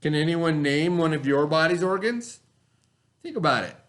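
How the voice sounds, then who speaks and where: A man with a fairly high voice reads a lesson aloud for children. He speaks as a teacher, instructional in manner, moving between the text and his own explanations and questions. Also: expressive, a bit bright. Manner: instructional, pausing to pose and answer questions.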